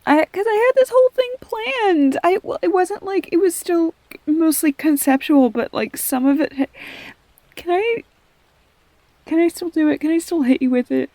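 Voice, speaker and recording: A woman playing a tender, flustered girlfriend in an intimate roleplay audio, speaking softly and haltingly, often slipping toward a whisper. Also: very high voice, no room sound. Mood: giddy, excited. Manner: halting, stammering, soft-spoken, breaking into whispers. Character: tender, flustered girlfriend blurting out a marriage proposal.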